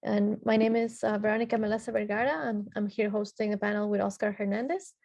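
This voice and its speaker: A woman's voice: mid-range voice, steady tone, fast talker, gravelly, darkish tone. A woman from Ecuador moderating a technical panel, reading out introductions with frequent filler sounds and restarts.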